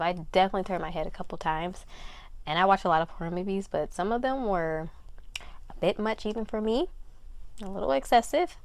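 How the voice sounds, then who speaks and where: A woman's voice: on the low side, clear, resonant tone. A woman chatting casually as a film reviewer, informal and hedging, often repeating herself for emphasis.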